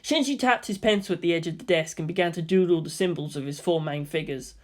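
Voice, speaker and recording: A woman's voice: fairly low pitch, quick pace. A woman reading scripted story narration as the narrator.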